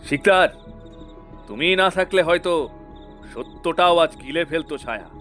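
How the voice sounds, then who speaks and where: A man's voice: on the high side, animated, measured pace, fairly bright tone. An actor playing an earnest, questioning male investigator in an audio thriller. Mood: puzzled, earnest. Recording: music under the voice.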